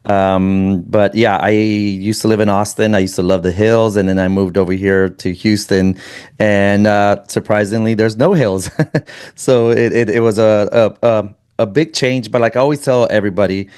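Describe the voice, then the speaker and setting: A man hosting a running podcast, chatting casually and rambling with many halting restarts. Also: fairly low pitch, steady tone, a little mellow, clear, resonant tone. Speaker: podcast host. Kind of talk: casual interview chat.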